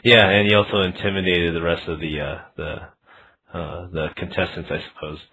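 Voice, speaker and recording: Deep, bright-voiced man, halting delivery. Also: little pitch movement, poor audio. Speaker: interviewer and developer of a learning game. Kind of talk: casual phone interview. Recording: phone interview with clear background noise.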